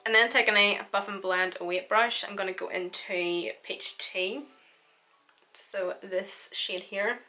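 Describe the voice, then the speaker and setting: A woman's voice: medium pitch, steady tone, takes it slowly, bright, forceful tone, rough recording. A young woman with a Northern Irish accent, chatting casually through a makeup tutorial and laughing often. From Northern Ireland. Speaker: beauty vlogger.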